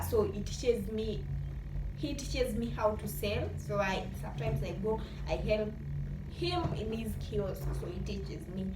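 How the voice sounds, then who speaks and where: A woman with a Kenyan accent telling casual personal stories in an animated way, acting out remembered dialogue and switching between two languages. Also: medium pitch. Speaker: vlogger. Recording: roomy echo, distant mic, noisy background.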